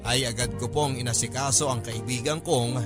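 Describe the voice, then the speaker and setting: A man's voice: medium pitch, steady tone, moderate pace, music in the background, close to an everyday voice. A man performing an earnest young student's story as scripted radio drama narration, polite and confiding. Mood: earnest, troubled. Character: earnest, worried young student friend telling their story.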